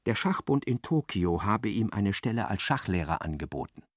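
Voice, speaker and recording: An actor playing a dry storyteller, giving measured, precise literary narration in a radio play. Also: male voice, fairly low pitch, very animated, no echo.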